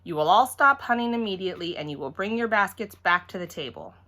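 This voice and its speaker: Exasperated dad laying down the rules, played by a woman, emphatic, bright, forceful storybook voice. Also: medium pitch, animated, fast. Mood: announcing. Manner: stern, emphatic, rule-giving. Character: stern, exasperated father running an egg hunt.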